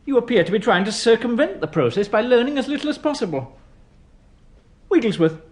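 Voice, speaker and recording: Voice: mid-range voice, very animated, brisk, darkish tone, close to an everyday voice. A performer in a radio play as a withering, sarcastic English schoolteacher quizzing pupils in a dry manner. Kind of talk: British radio play acting.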